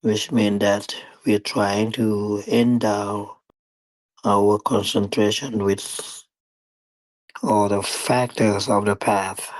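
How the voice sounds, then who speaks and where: Slow, low-voiced man guiding meditation. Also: no room sound, rough recording. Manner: deliberate, halting phrasing with long pauses. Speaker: meditation teacher. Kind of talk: guided meditation dharma talk.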